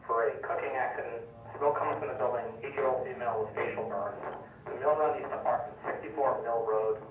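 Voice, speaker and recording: A man's voice: mid-range voice, fairly even intonation, brisk, highs cut off, background noise. Echoey, distant male radio dispatcher. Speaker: emergency dispatcher.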